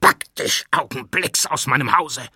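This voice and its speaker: A man voicing a furious, fanatical man who shouts commands at his cousin in an audiobook. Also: medium pitch, expressive, measured pace, slightly rough, no echo, clean studio sound, voice pushed up. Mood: enraged shouting. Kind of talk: audiobook character acting.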